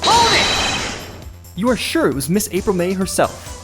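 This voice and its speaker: Anxious young lawyer, long reverb. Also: male voice, high-pitched, huge pitch swings, measured pace, exaggerated voice. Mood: challenging. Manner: nervous, dramatic, with muttered inner asides. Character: anxious young rookie defense attorney, earnest and flustered. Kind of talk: video game voice dub. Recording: long reverb, music underneath. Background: music.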